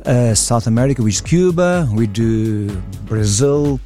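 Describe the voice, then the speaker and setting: A man's voice: medium pitch, expressive, unhurried, warm tone, faint music behind. A man from Angola and Portugal giving friendly, agreeable answers as a musician guest in a casual radio interview.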